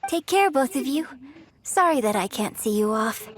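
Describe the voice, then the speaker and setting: Woman acting a gracious, high-voiced young opera star. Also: lively intonation, moderate pace, slight background noise, close to an everyday voice. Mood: relieved, grateful. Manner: courteous, composed, apologetic phrasing. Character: famous young female opera performer, gracious and polite. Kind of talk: video game voice acting.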